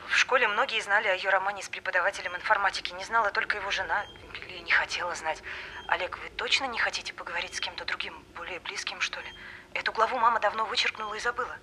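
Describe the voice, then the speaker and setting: Matter-of-fact woman teacher in a radio play: telephone voice, fast, sharp-edged delivery. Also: mid-range voice, a little hiss or hum, poor audio. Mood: frank, dry. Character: composed, frank female teacher, daughter of elderly tutor. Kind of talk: audio drama acting.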